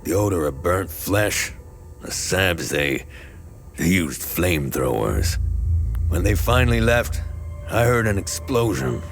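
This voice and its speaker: A man voicing a wary, haunted town mechanic in a dramatic video game, blunt and halting in delivery. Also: deep voice, animated, vocal fry, soft background music, exaggerated voice. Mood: grim, shaken. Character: wary, haunted male town mechanic in a war-torn land. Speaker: town mechanic and scrapyard owner.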